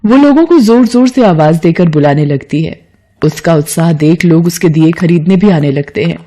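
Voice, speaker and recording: A woman's voice: fairly low pitch, animated, moderate pace, warm tone, a little hiss or hum. A woman narrating a scripted moral story as a storyteller.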